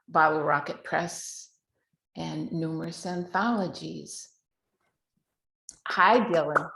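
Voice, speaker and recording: A woman hosting a poetry reading, giving informal introductions and thanks, recorded with echoey room sound. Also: fairly low pitch.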